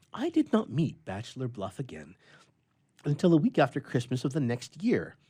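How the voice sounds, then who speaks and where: A man's voice: mid-range voice, a little mellow, slightly rough. A man reading an old-fashioned short story aloud as a storyteller in a live dramatic reading. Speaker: story reader.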